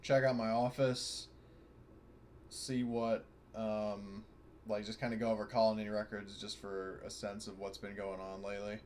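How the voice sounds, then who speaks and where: A man with a fairly low voice talks through his moves in a tabletop game. He is halting and casual, swears freely, and has light noise behind him. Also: level tone, a little mellow. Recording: noisy online voice call.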